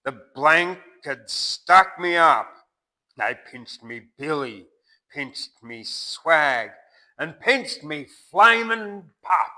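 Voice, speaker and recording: A man playing a huge, angry Australian bushman, ranting in loud, drawn-out bush slang about being wronged. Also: on the high side, lively intonation, pitched above the performer's own voice, heavily acted. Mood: outraged, aggrieved. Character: huge angry swagless bushman, cursing his robbers.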